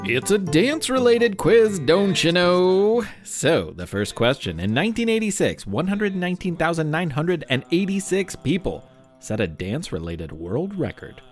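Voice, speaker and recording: A man's voice: on the high side, very animated, normal speed, faint music behind. A man hosting a children's show, narrating from a script in a playful, theatrical way with wry asides. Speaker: podcast host.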